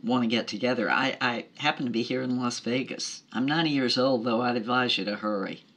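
A woman playing a teasing, breezy ninety-year-old former movie bombshell in an audio drama, wry and unhurried. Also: rumbling low, fairly bright tone. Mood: wry amusement. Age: 90s.

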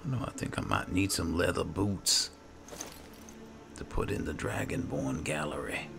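A man with an extremely deep voice full of heavy fry hosts a game playthrough. He mutters to himself and reads item text without hurry, and game music plays clearly behind him. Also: animated, unhurried. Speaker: gaming video host. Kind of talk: roleplay game playthrough commentary, reading item text aloud. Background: video game music.

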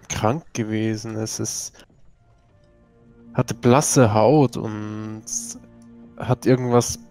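A man playing a tabletop role-playing game in a casual, halting way. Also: mid-range voice, a little mellow. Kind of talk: casual tabletop role-play, partly in character.

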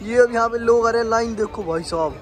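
A man's voice: extremely high pitch, fast. A young man vlogging casually as he walks a busy auto expo, chatting, laughing and exclaiming to his friends. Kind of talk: casual walkaround vlog. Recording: loud crowd and music behind. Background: loud crowd and music.

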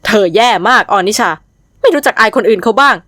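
A woman's voice: fairly high pitch, fast, pushed and bright, dry recording, studio quality. A woman reading a Thai novel aloud, voicing a stern, authoritative female department supervisor who scolds her staff. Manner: firm, scolding.

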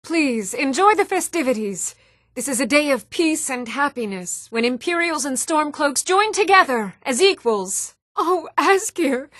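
An actress playing an even-toned, earnest young townswoman in a fantasy video game, with measured delivery. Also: very high voice, no room sound, studio quality, heavily acted. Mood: anguished. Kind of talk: video game character voice lines.

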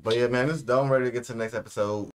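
A man's voice: mid-range voice, fairly even intonation, racing, smooth. A young man in casual reaction chat as a show host, talking in slang with plenty of bro and man. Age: young adult. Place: small room indoors, seated.

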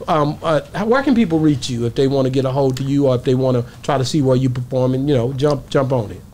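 A man's voice: mid-range voice, fast, warm tone, slight background noise. A man in his forties hosting a casual podcast interview, talking in slang with hyping interjections, close on a studio microphone. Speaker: podcast host. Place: small indoor podcast studio. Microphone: close studio microphone with headphones.

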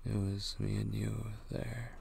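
A man acts out a drowsy, needy boyfriend shaken by a nightmare in an improvised ASMR roleplay. The voice is low and heavy with creaky fry. He murmurs intimately and haltingly, with very long, slow pacing. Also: level tone, darkish tone, a little hiss or hum, heavily acted. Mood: shaken, confiding.

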